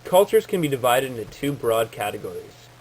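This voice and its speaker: A man's voice: medium pitch, very animated, a little fast, darkish tone, low-quality recording. A man reading out a prepared informational presentation in a formal, textbook-like way.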